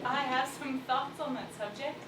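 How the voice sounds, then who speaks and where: Woman playing knowing lady, high voice, formal theatrical delivery, distant from the mic. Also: unhurried, noisy background. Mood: knowing, pointed. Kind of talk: theatrical stage musical acting. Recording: echoey stage from far off, music behind.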